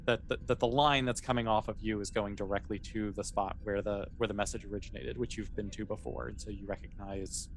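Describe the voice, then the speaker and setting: Low-voiced man running a sci-fi tabletop game. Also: fairly even intonation, a little fast, hardly any pauses, a little hiss or hum. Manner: casual, explanatory, conversational. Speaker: game master. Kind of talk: improvised narration of a tabletop sci-fi roleplaying game.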